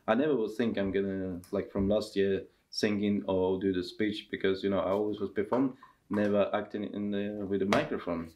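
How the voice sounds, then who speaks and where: Low, dark-voiced Russian man. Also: dull audio. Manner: halting non-native English with restarts. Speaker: circus performer and tent master. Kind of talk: casual interview. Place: indoor costume workshop.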